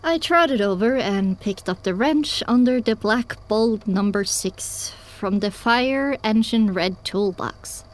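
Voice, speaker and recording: A woman's voice: mid-range voice, takes it slowly, few pauses. A woman reading scripted first-person story narration as the narrator of an audio drama.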